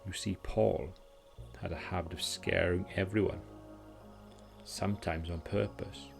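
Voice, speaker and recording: A Welsh man gently narrating a scripted bedtime story in a soothing, calming way, as its host. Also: low voice, measured pace, gravelly. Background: music.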